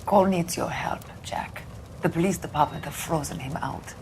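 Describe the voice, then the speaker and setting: Casting: poised noir game woman, deep creaky voice, hushed and polite, noisy background. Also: normal speed. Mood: earnest, subdued. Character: poised, earnest, soft-spoken woman in film noir. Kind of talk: film noir video game acting.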